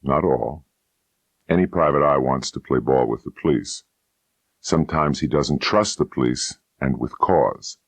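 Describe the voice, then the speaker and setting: A man's voice: very deep voice, unhurried, dry recording, heavily acted. A man voicing a wry, cool, hard-boiled private eye in a noir audiobook, dry, laconic and unruffled. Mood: measured, defiant. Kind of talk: first-person noir audiobook dialogue.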